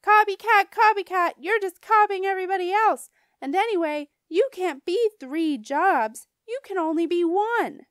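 A scornful young boy bully from a children's storybook, acted by a woman. The voice is high and raised, with an insistent, mocking edge made for teasing classmates. The sound is close and dry. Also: expressive, unhurried, dry recording. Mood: taunting. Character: mean, taunting schoolboy bully. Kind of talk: children's audiobook character voice.

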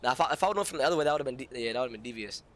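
A man's voice: mid-range voice, lively intonation, very fast, a bit bright. A man chatting and reacting during a game in casual slang, emphatic and often repeating himself.

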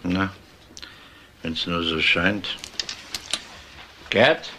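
An actor playing a calm police detective who asks short, probing questions in a crime radio drama. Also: male voice, low-pitched, very slow, a little hiss or hum, heavily acted. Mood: businesslike. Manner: terse, questioning.

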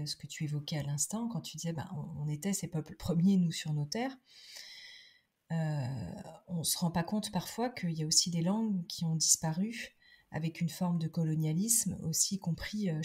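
A woman's voice: low voice, darkish tone. A middle-aged woman giving a conversational interview as a podcast guest, thoughtful, with many hesitations and restarts. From France.

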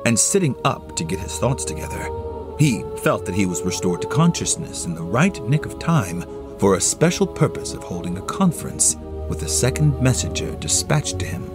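A man narrating a classic story as a solo audio play, with theatrical, deliberate phrasing. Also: lowish voice. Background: music under the voice.

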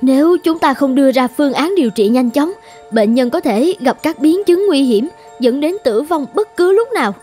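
A young cartoon medical assistant, loyal and earnest. Her voice is high, with an urgent, emphatic delivery and music underneath. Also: lively intonation, normal speed, warm tone. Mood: urgent pleading. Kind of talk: dramatic animated-film dubbing.

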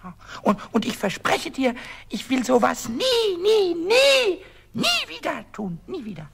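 An actress playing a small, mischievous, childlike male kobold in a children's radio play, repeating words excitedly and stammering. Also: high voice, measured pace, creaky, husky, exaggerated voice. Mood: contrite.

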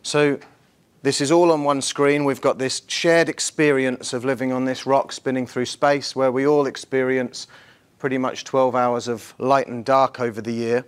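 A middle-aged man with a slightly husky voice, giving an academic conference lecture in an informal, lightly self-deprecating manner. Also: medium pitch, little pitch movement, normal speed. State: slightly husky from the night before. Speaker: university professor, conference speaker. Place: large lecture theatre, on stage before an audience.

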